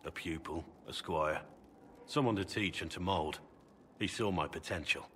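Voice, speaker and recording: An actor playing a middle-aged, wistful warrior, speaking in a measured, earnest way in a video game. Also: male voice, sits low, fairly bright tone, slight background noise. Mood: wistful, regretful. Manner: measured, earnest, reflective storytelling. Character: gruff, wistful Grey Warden warrior looking back on youth. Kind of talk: video game dramatic acting.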